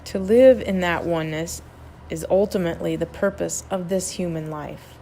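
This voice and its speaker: A woman narrating a guided meditation in a gentle, measured, instructional manner. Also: on the low side, slight background noise.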